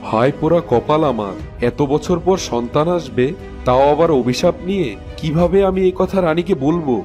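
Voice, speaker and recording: A man's voice: on the high side, moderate pace, darkish tone, natural voice. A man voicing an anxious fairy-tale king, a fond husband and father, earnest and pleading in a cartoon. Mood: troubled. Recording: music behind the voice. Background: music.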